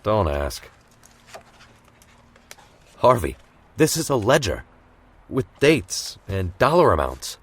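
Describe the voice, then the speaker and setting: An actor playing a steady, principled police captain in a comic-book audio drama, measured, firm and dry. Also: male voice, lowish voice, slightly rough, exaggerated voice. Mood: matter-of-fact.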